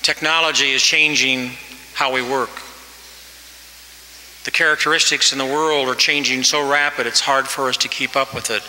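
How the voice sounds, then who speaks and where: A man's voice: medium pitch, steady tone, normal speed, pushed and bright, a little hiss or hum. A man in his sixties giving a commencement address at a podium microphone, earnest and exhorting, pushing his voice up for emphasis. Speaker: commencement speaker. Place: large hall. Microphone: podium mic. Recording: reverberant hall, faint ambience.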